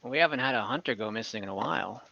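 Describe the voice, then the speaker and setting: A man's voice: on the low side, animated, very fast, creaky, bright, forceful tone, voice pushed down, exaggerated voice. A man voicing a friendly male tavern bartender, talking in an easygoing, rambling way over slightly muffled online audio. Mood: casual. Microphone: video call mic.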